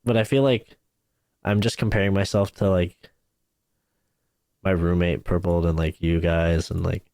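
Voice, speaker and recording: A man's voice: deep voice, steady tone, moderate pace, darkish tone, no room sound. Laid-back bro-talking young man, dry sound. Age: 20s. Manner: laid-back, fills talk with "like", "dude" and "bro". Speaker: YouTuber. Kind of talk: casual podcast chat.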